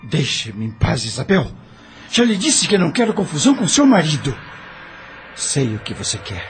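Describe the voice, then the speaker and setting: A man's voice: on the high side, wildly swooping pitch, moderate pace, faint music behind. A man playing an earnest young doctor and husband in an audio drama, speaking emotionally. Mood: irritated.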